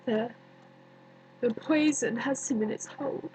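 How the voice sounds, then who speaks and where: A woman performing a dramatic stage monologue as a bitter, grieving young woman, deliberate and accusing, with many pauses. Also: a little high, a little hiss or hum. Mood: sorrowful, haunted. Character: bitter grieving young woman haunted by her dead cousin.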